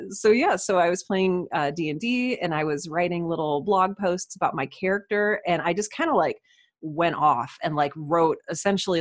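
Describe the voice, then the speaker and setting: A woman in her thirties speaks in a fairly low register. She tells personal stories in a casual, self-deprecating way, as a guest on a podcast would. The sound is dry, with very little room. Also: dry recording. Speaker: interview guest, writer and podcaster.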